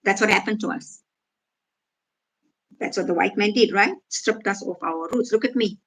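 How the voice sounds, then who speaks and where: A woman's voice: medium pitch, normal speed, fairly bright tone. A woman from Africa, casually reading out comments and answering them with sarcasm. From South Africa. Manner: sarcastic, reads out and rebuts comments. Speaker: vlogger reacting to comments.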